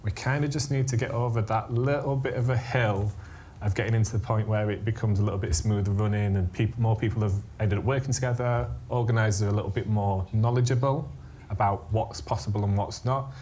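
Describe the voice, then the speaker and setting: A British man with a lowish voice, moderating an industry panel in a loose, casual way. He often repeats a word before going on. There is faint room noise at the venue. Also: fairly even intonation, fairly quick, mellow. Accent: British English. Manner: casual, hesitant, repeats words. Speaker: panel moderator and event organiser. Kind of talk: panel discussion. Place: event venue in central London.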